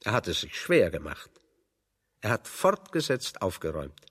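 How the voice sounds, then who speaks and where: An actor playing a wry, pedantic intellectual exile in German radio drama, explaining things with irony. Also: male voice, lowish voice, huge pitch swings, moderate pace, a bit bright, husky, long reverb tail, heavily acted. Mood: wry, anecdotal. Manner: pedantic, ironic, expository. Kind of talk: German radio play dialogue.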